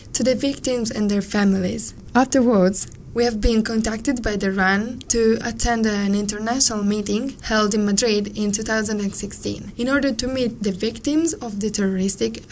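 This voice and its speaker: A woman with a northern Italian accent, a volunteer psychologist answering interview questions in a measured, earnest way, Italian being her first language. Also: medium pitch, a little hiss or hum. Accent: Italian, from around Turin. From northern Italy. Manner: measured, earnest, careful non-native phrasing.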